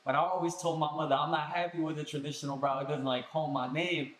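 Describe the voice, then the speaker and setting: A young man from Colombia, a guest musician telling his story in a casual podcast chat with relaxed street slang. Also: a little high, quick pace. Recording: echoey room.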